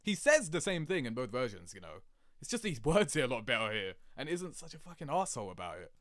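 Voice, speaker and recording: A man giving casual, rambling reaction commentary in an animated, slangy, sweary way, laughing easily. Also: mid-range voice, fast, no room sound, clean studio sound. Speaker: video creator reacting to game cutscenes.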